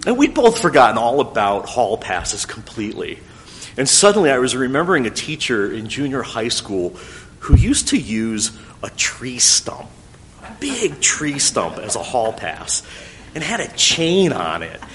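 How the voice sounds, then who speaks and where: A man's voice: mid-range voice, very animated, a little slow, slight background noise. Reflective middle-aged man telling a story. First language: French. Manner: thoughtful, gently wry.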